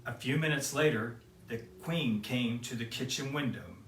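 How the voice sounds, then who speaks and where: A man reading a children's picture book aloud in a gentle storytelling way. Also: mid-range voice. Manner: slow, gentle read-aloud. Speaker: storyteller for kids. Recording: roomy, mic at a distance.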